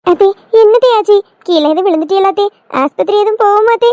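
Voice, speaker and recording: High-voiced gushing young daughter-in-law, acted by a woman. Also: fast, a little mellow, no echo. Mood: alarmed. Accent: southern Tamil Nadu. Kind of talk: Tamil family skit acting.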